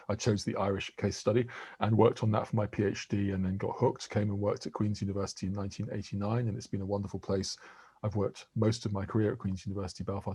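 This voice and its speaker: A man in his sixties who grew up in England, an interviewed professor giving articulate, measured answers with occasional restarts. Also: on the low side, a little mellow, slightly rough, no room sound.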